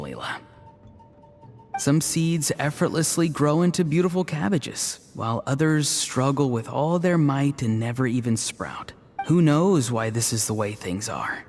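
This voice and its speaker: An actor voicing an earnest, gentle, dutiful young man in an anime fantasy game, polite and reassuring. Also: medium pitch, lively intonation, unhurried. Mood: gentle, sympathetic. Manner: polite, reassuring, soft-hearted. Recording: long reverb, music underneath.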